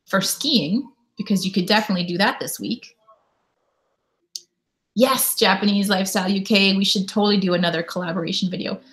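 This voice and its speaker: A woman in her thirties, from Canada, streaming live from her home. She chats easily and reads viewers' comments aloud, then answers them, with a voice in a middle pitch range. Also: fairly bright tone. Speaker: YouTube host. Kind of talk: casual live stream chat.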